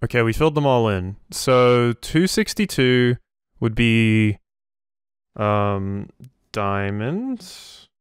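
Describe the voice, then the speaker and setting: A man's voice: mid-range voice, expressive, slow, clean tone, no echo, clean studio sound. A man giving casual game commentary, musing to himself as he works things out. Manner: thinking aloud, musing to himself. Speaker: let's play host.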